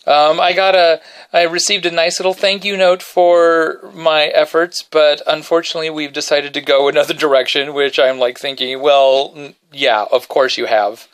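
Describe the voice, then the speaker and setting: A man hosting a podcast from his bedroom, telling a self-deprecating story in a casual, animated way and singing short snippets quietly. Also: smooth. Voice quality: baritone. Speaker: podcast host.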